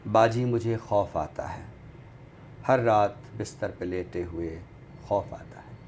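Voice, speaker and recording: Low-voiced man reciting Urdu poetry, noisy recording. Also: slow pace, darkish tone. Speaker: guest presenter reciting poetry on a literary show. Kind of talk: dramatic Urdu poetry recitation, then book talk. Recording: clearly noisy background ambience.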